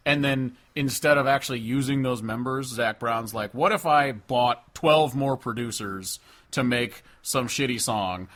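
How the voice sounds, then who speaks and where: A man with a medium-pitched voice speaks as a podcast co-host in loose, casual conversation. His manner is blunt and disbelieving, with frequent profanity. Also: a little slow, a bit bright. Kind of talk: casual music discussion.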